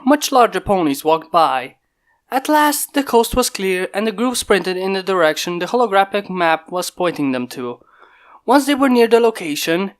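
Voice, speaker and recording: A man reading a fan story aloud in steady, plain narration. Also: high voice. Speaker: fanfic reader. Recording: mic pops from a failing microphone.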